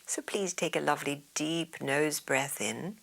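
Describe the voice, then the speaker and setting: A woman yoga teacher leading a guided meditation in a soft, soothing, half-whispered way. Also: sits low, unhurried, creaky, husky.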